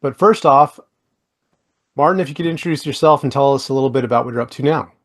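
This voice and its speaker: A man hosting a podcast interview with a voice of middling pitch. He talks in a friendly, chatty way and leans on fillers like "like" and "you know". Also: quick pace. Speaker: podcast host. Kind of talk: casual interview chat.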